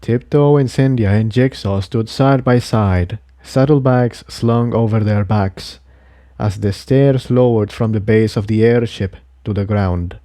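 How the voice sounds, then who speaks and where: A man's voice: lowish voice, unhurried, warm tone, no room sound, clean studio sound. A man reading scripted fantasy fiction aloud as a storyteller. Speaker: fan fiction reader.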